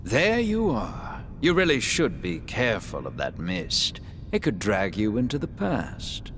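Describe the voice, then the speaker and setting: A composed, cryptic man from a video game. His low voice moves slowly and ominously, gliding widely in pitch. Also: wildly swooping pitch, a bit bright, a little hiss or hum, heavily acted. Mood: calmly ominous. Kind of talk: video game cutscene acting.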